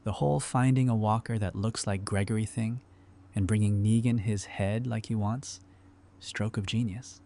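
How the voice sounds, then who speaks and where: Man acting a low-voiced, wry survivor. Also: steady tone, moderate pace, creaky, warm tone. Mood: serious. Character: easygoing, wry male survivor, friendly and pragmatic. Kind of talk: fan audio drama dialogue.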